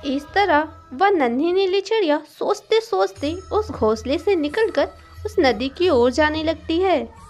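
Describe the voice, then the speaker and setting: A woman's voice: sits high, animated, a little slow, soft background music. A woman narrating a scripted moral story for children as a storyteller.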